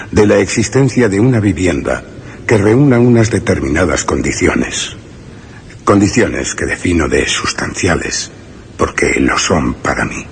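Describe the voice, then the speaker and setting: A man's voice: deep voice, slight background noise. An actor playing an intense, obsessive male scholar in a radio drama, speaking formally and emphatically.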